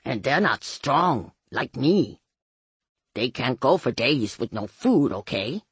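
A woman voicing a blunt, belligerent, streetwise male vampire sidekick with a husky, complaining delivery in an audiobook. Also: very deep voice, big pitch swings, slow pace, no echo, heavily acted. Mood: grumbling.

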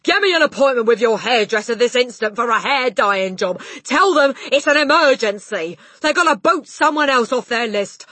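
A woman voicing a blustering, indignant middle-aged father in a storybook, yelling and wailing in panic. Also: mid-range voice, steady tone, moderate pace, hardly any pauses, no room sound. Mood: frantic wailing.

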